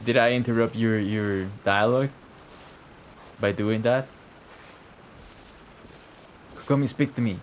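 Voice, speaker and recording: Slow, laid-back male game streamer. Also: mid-range voice, slight background noise. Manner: slangy, joking, laid-back. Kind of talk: casual live gameplay commentary.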